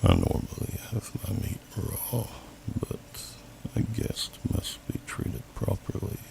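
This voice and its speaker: A man voicing a huge, gentle older male dragon in a deep, gravelly half-whisper, with slow, courtly, old-fashioned speech in an ASMR roleplay. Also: sing-song, full character voice. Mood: amused. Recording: noisy amateur home microphone.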